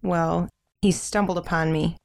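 An actress playing a shy, kind, earnest young sister in a modern audio drama, speaking gently. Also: female voice, fairly low pitch, steady tone, unhurried, gravelly. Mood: casual, shy.

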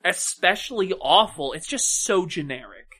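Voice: medium pitch, lively intonation, moderate pace, pushed and bright, husky. A podcast co-host chatting casually and giving opinions in a wry, mock-serious way. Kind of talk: casual opinionated review chat.

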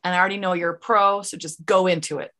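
Bright-voiced bubbly woman host with Utah accent. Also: lowish voice, steady tone, quick pace. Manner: bubbly, laughs often, chatty. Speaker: podcast host. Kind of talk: casual interview chat.

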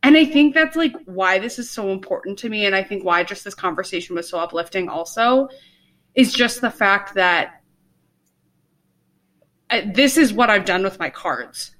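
Bright-voiced young woman, chatty podcaster. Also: medium pitch, lively intonation, normal speed, low-quality recording. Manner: rambling, lots of fillers and laughs. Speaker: podcast co-host. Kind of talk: casual chat. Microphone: video call.

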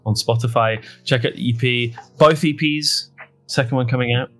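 A man's voice: mid-range voice, fairly even intonation, normal speed, a bit bright. Jokey male podcast host over guitar music. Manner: jokey, rambling, self-mocking. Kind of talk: casual comedic chat.

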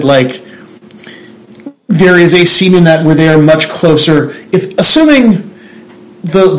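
A man with a Central New Jersey accent talks in a casual, rambling way, restarting his sentences as he goes. His voice sits a bit high for a man. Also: rough recording. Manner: rambling, self-interrupting, restarts a lot. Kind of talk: casual chat.

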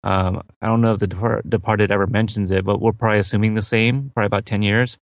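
A man speaking English as his second language discusses films in a relaxed chat, often pausing with fillers. His voice sits fairly low, and the recording is close and dry. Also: no room sound. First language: not English. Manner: hesitant, many "uh" and "um". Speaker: film podcast co-host. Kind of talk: casual film discussion.

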